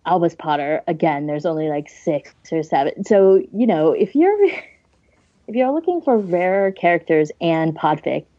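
A woman's voice: lowish voice, animated, a little slow, warm tone, no room sound. A woman co-hosting a podcast in casual, emphatic conversation. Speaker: podcast co-host. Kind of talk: casual chat. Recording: dull lo-fi audio, dry.

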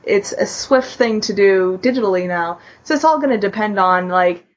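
A woman chatting casually as a podcast co-host, thinking aloud in a halting way. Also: mid-range voice. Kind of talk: casual chat. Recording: dull lo-fi voice recording.

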